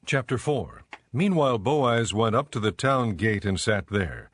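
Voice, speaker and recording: A man narrating scripture from a script in a measured, solemn manner. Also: on the low side, lively intonation, breathy edge, no room sound, clean studio sound.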